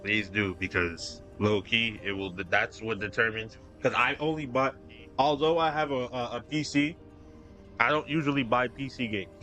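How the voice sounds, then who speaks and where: A man's voice: mid-range voice, moderate pace, sharp tone, soft background music. A man streaming a game, chatting casually with his viewers and rambling through restarts. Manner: rambling, restarts sentences, talks to chat. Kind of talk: casual live-stream chat.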